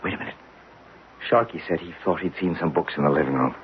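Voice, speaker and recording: An actor playing an eager geologist in a radio drama, with an urgent, insistent manner. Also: male voice, deep voice, fast, close to an everyday voice. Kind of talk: old-time radio drama acting. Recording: noisy, dull old radio recording.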